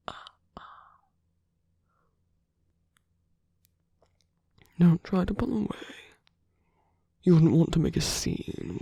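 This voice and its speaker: Man playing a slow, breathy, whispering villain. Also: on the high side, no echo, exaggerated voice. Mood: teasing, menacing. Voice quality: soft, breathy. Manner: slow, teasing, murmuring, often dropping into whispers. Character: suave, teasing male villain at a royal masquerade. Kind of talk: intimate ASMR roleplay acting.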